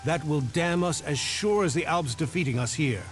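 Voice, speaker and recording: Stern game faction leader, male actor: higher-pitched, commanding, distrustful, deliberate. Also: faint music behind, close to an everyday voice. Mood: resolute. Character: wary, commanding leader resisting an enemy faction. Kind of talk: video game voice acting.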